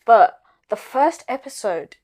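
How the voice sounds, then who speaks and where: A woman's voice: a little high, very animated, takes it slowly, a little mellow. A woman in casual podcast chat about film and TV, with a teasing, slangy way of talking. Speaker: podcast co-host.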